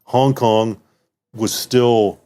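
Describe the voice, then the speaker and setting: A man's voice: medium pitch, fairly even intonation, extremely slow, dark tone. A man in his fifties, a native German speaker, reminiscing as a retired military guest in a rambling way with many restarts. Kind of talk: casual interview reminiscing.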